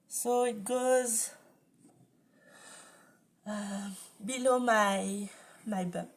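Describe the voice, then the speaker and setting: A woman in her forties, not a native English speaker, chatting at home about her knitting, with many ums and restarts. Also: mid-range voice. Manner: hesitant, lots of ums, restarts and soft laughs. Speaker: knitting podcast host. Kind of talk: casual chat. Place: living room at home.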